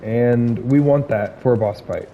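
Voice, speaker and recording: A man giving casual gameplay commentary, hoarse from illness, rambling with many fillers and restarts. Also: mid-range voice, measured pace, muffled recording, slight background noise.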